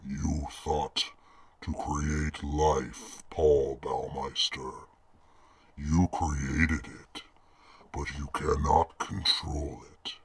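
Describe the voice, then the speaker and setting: A man voicing a monstrous amoeba in a radio horror play, gloating and domineering, with a gravelly, husky voice. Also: very deep voice, flat delivery, fairly bright tone, dry recording, heavily acted. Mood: gloating menace. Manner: slow, menacing, domineering. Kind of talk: old-time radio horror acting.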